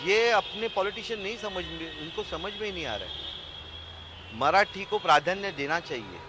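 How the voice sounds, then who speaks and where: Man, street interviewee, high voice, restarts often, heavy city noise behind. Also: expressive, moderate pace. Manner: hesitant, restarting, emphatic.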